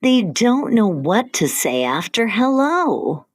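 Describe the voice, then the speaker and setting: A woman co-hosting an English-learning podcast, speaking slowly and carefully in simple scripted sentences. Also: a little high, very animated, no room sound, studio quality. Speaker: podcast co-host.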